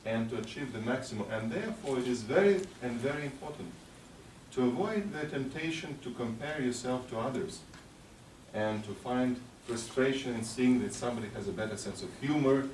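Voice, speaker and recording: A man's voice: mid-range voice, expressive, fairly quick, a little mellow, far from the mic. Male conference speaker, mid-range voice, encouraging but hesitant delivery, heard from afar in a noisy hall. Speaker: speaker addressing a youth conference. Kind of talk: motivational speech with audience questions. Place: large echoing hall at a conference ceremony. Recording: echoey hall from afar, background noise.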